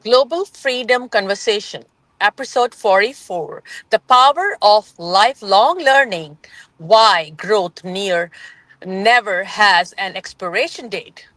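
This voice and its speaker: A woman on an online panel who reads slowly and carefully. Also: medium pitch, animated, fairly bright tone, no room sound, low-quality recording. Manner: slow, careful reading.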